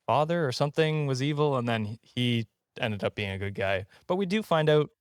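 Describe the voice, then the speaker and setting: A man hosting a casual comedy podcast in a jokey, slightly halting way. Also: mid-range voice, expressive, no echo, clean studio sound. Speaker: podcast host.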